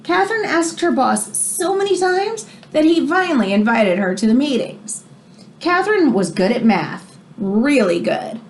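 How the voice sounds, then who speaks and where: A woman's voice: sits high, sing-song, a little slow. A woman teacher reading a children's nonfiction book aloud, explaining as she goes and stressing key phrases. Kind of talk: expressive read-aloud of a children's nonfiction book with brief asides.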